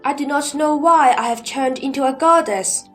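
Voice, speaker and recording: High-voiced, earnest questioning disciple played by a woman. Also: close to an everyday voice. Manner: formal, questioning, measured. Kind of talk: scripted sutra audio drama. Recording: background music under the voice.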